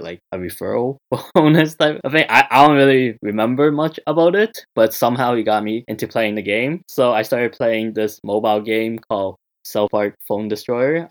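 A man in his twenties with a Canadian accent, hosting a podcast in a rambling, easygoing way full of filler words. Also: lowish voice. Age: 20s. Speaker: podcast host. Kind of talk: casual chat.

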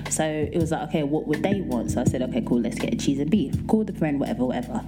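A woman with a light, soft voice, hosting a podcast and telling a story in casual, playful chat. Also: low-pitched, fast talker, music in the background. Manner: animated, playful, breaks into singing and laughter. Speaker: podcast host.

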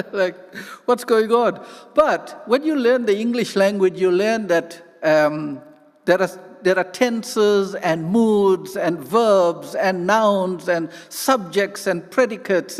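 A South African man preaching with fervor, his voice sitting high and stumbling into restarts as he builds a point. The sound carries a long reverb from a big church hall. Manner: passionate, rambling with restarts and laughs. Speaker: guest preacher. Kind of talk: sermon.

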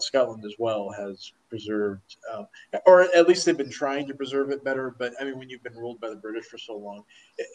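A man's voice: medium pitch, rough recording. A man in a casual chat, explaining at length in a talkative way with fillers and restarts. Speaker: conversation partner.

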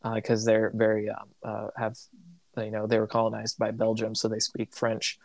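A man's voice: on the low side, monotone, moderate pace, creaky, darkish tone, no room sound, rough recording. A man who grew up in Tanzania, a missionary, telling a story in casual conversation and often restarting his sentences. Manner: rambling, self-correcting, reflective.

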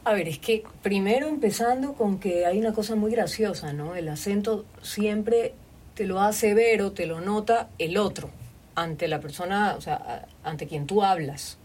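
Animated woman with a Guayaquil accent. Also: medium pitch, normal speed, husky. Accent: Guayaquil Spanish. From Guayaquil, Ecuador. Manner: animated, explains with spoken examples. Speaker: guest, voice-over artist and communications consultant. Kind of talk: interview conversation. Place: recording studio. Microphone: portable recorder.

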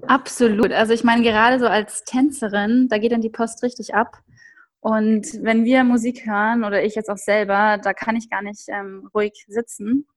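Cheerful young woman, slightly high voice. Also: level tone, moderate pace. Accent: standard German. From Austria, raised in Upper Bavaria. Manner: cheerful, chatty, many fillers. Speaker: guest ballet dancer. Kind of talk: casual podcast interview.

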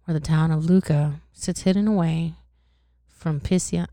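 A woman's voice: low-pitched, steady tone, a little slow, mellow, no room sound, studio quality. A woman voicing a bitter, tormented count condemned to hell, telling his story in an aggrieved, vulgar, conversational way. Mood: grim recounting. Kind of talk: dramatic audiobook-style reading.

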